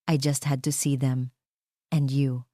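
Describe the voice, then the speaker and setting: A woman's voice: extremely low pitch, little pitch movement, takes it slowly, warm tone, no room sound, studio quality. A woman with a Filipino accent voicing a haughty, seductive rich ex-lover in a story, sweet but condescending. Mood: sweetly coaxing.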